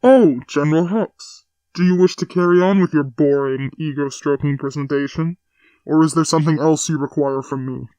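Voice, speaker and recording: A man playing an aloof, coolly commanding warrior master in a dramatic comic dub, measured and dismissive in manner. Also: on the high side, dark tone, no room sound, lightly acted, rough recording. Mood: dismissive, irritated. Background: music.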